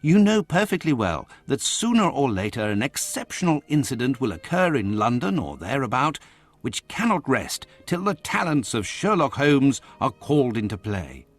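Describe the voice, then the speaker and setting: An actor playing a genial, busy Victorian physician in a video game, chatty and formal in an old-fashioned way. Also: male voice, mid-range voice, sing-song, normal speed. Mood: reassuring. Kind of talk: video game cutscene acting.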